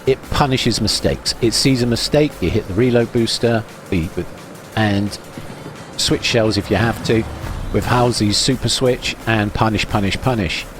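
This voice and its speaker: A man giving live, chatty commentary while playing an online naval game, wry and self-deprecating as he thinks aloud. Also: mid-range voice. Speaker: gaming video host.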